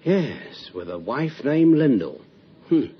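An actor playing a paternal, confiding company boss, genteel and hesitant, in old-time radio drama. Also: male voice, mid-range voice, sing-song, slow, breathy edge, exaggerated voice. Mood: recollecting. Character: concerned, gossipy, paternal male company boss. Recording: muffled, noisy old radio recording.

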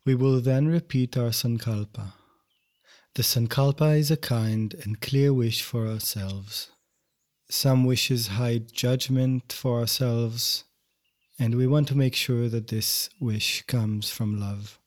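Male meditation teacher, mid-range pitch, very slow pace, gentle, measured guided instruction. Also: steady tone. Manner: gentle, unhurried, measured phrasing. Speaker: yoga nidra meditation teacher.

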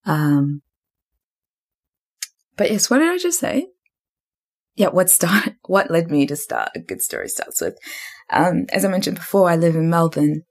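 A woman's voice: lowish voice, big pitch swings, measured pace, pauses often. A young woman from Zimbabwe and New Zealand hosting a solo podcast, rambling and thinking aloud with lots of fillers. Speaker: podcast host.

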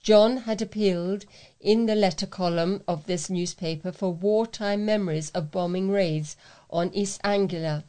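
A woman's voice: mid-range voice, a little mellow. A woman reading a newspaper letter aloud as a volunteer reader.

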